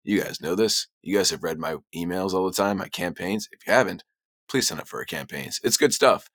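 A young man with a hoarse voice, hosting a casual sports podcast with jokey, self-deprecating banter. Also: sits low, fairly quick, a bit bright, no echo, clean studio sound. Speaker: podcast host.

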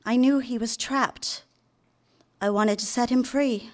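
An actress playing a young 1950s secretary in a radio drama, confiding and lovestruck, live on a theater stage. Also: female voice, fairly high pitch, steady tone, slow pace. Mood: lovestruck, defiant. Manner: confiding, direct address to listener. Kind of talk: radio drama narration and dialogue.

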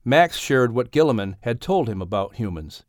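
A man narrating a children's audiobook story in an expressive, scripted storytelling style. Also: mid-range voice, moderate pace, dry recording, studio quality.

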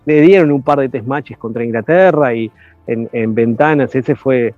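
Male podcast guest, analytical and measured, dull lo-fi dry sound. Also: medium pitch, darkish tone, no room sound, soft background music. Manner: analytical, measured, many hesitations. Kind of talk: casual chat.

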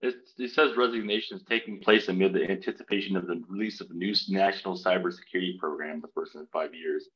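Male podcast guest, very low voice, hesitant delivery, dull lo-fi remote audio. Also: fairly even intonation, fast talker, hardly any pauses. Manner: hesitant, trailing off when unsure. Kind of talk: casual podcast chat. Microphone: remote call.

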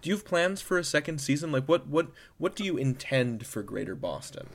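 A man's voice: on the high side, big pitch swings, a little fast. A man hosting a podcast, asking questions in a casual interview style.

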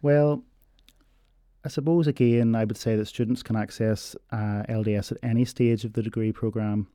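A man explaining university learning support in a measured interview, pausing often on ums. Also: on the low side, steady tone, mellow, dry recording, clean studio sound. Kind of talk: informational interview answers.

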